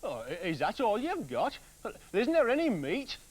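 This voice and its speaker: A man's voice: sits high, wildly swooping pitch, normal speed, voice pushed up, full character voice. A man doing a blustering grumpy woodcutter. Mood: grumbling. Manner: blustering, complaining. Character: hot-tempered poor woodcutter, middle-aged man.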